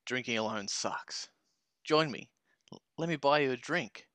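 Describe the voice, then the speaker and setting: A man's voice: medium pitch, unhurried, fairly bright tone, clean tone, no echo, lightly acted. A man reading the part of a laid-back, friendly young footballer in a podcast table read.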